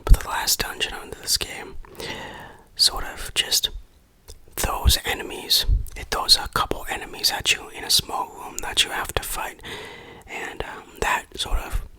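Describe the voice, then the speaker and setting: A person whispering an informal, opinionated ASMR game review in a breathy, unvoiced voice. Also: unhurried, bright, forceful tone, poor audio.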